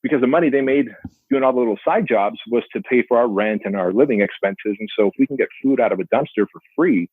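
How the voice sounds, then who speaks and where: A man's voice: mid-range voice, fast, slightly rough, dry recording. A man in his forties who grew up speaking Armenian, telling his life story earnestly as a podcast guest. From Soviet Union, Armenian family. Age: 40s. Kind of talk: storytelling interview. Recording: dry tinny phone-like line.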